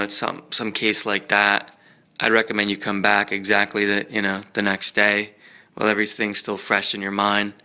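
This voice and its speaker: A man's voice: lowish voice, pushed and bright, clear, resonant tone. An American man chatting casually as a travel vlogger in a museum park, rambling with many fillers and restarts.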